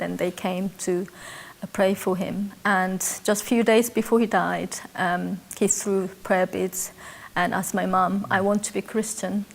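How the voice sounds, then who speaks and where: A woman in her forties from South Korea with a Korean accent, speaking English as a second language and calmly telling her life story in an interview with hesitant pauses. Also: medium pitch, gravelly. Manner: reflective, measured, with hesitant fillers. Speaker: interviewee, church member. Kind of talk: personal testimony interview.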